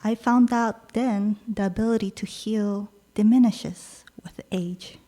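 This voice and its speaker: Slow, measured woman poet reading. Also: mid-range voice, steady tone. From Peru. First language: Korean. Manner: slow, measured delivery with soft pauses between lines. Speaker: poet reading her own work. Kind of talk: poetry reading.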